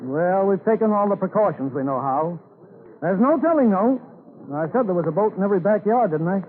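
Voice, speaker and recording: An actor with an American accent playing a determined, folksy town leader in an old radio drama, with a homespun, emphatic way of talking. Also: male voice, sits high, expressive, fairly quick. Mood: folksy pride. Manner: homespun, plain-spoken, emphatic. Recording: scratchy, narrow-band old radio recording.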